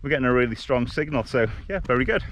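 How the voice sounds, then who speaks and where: A man gives an easygoing field commentary in the hills. His pitch is average and he talks conversationally, feeling his way through sentences. There is some outdoor noise and clicking around his voice. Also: lively intonation, quick pace, a bit bright. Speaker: vlogger, hiking group leader. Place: outdoors on mountainsides. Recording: noisy outdoor recording with clicks.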